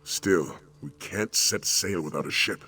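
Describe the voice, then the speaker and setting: A man's voice: deep voice, measured pace, vocal fry, sharp tone, breathy edge, exaggerated voice. A man playing a serious, practical adventurer in a fantasy video game. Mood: serious, resolute.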